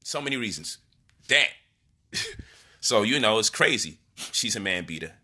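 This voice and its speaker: A man's voice: fairly low pitch, expressive, moderate pace, sharp tone. A man hosting a livestream, teasing his partner in playful banter and laughing often. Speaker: host.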